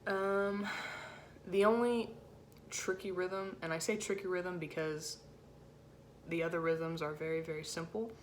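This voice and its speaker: A woman with a low-set voice giving an informal music rehearsal lesson as a choir section leader, in a casual, encouraging way with hesitant phrasing. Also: level tone, a little slow, slight background noise. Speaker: choir section leader teaching alto parts.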